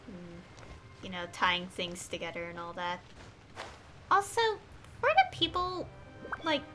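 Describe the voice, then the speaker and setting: A woman doing casual live game commentary, rambling and hesitant as she thinks aloud. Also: medium pitch. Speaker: game streamer. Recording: faint game music behind. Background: game music.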